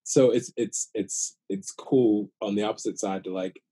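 An American man in his late thirties talking casually and sincerely, in a reflective, halting way, circling back over phrases as he thinks. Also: fairly low pitch, steady tone, mellow, breathy edge, dry recording. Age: late 30s. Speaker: podcast guest, new father. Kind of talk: casual heartfelt chat.

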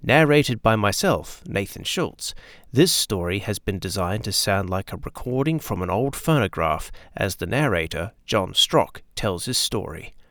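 A man's voice: lowish voice, expressive, unhurried, fairly bright tone, dry recording, studio quality. A man hosting a radio drama show, reading a polished, promotional scripted introduction. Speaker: host and narrator. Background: music.

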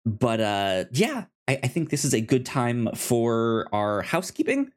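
A man's voice: mid-range voice, huge pitch swings, unhurried. A man hosting a podcast in a casual, chatty style, often tripping over his words. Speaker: podcast host.

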